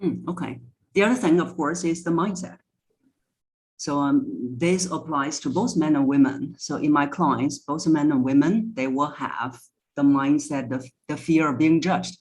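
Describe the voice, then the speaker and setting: A woman's voice: sits low, moderate pace, a little mellow, low-quality recording. A woman speaking as a guest voice coach, explaining her points in an interview.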